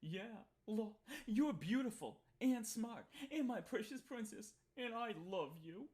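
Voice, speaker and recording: A woman informally reading a chat log out loud, play-acting the lines with mock sweetness. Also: on the high side, expressive, measured pace. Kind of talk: informal read-aloud of a chat log with asides.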